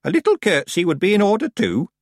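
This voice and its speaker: A man voicing a proud, bossy, mischievous very old woman in an audiobook story, with a high, shrill, imperious delivery. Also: sing-song, fast, no echo, studio quality. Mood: haughty. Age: over 100. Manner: imperious, theatrical scolding.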